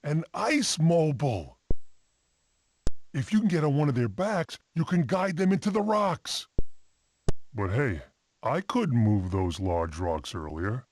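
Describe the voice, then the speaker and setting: An actor voicing an expressive, wisecracking mammoth father-to-be in an animated family game. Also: fairly high pitch, slow, pauses often, exaggerated voice. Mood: earnest, wry.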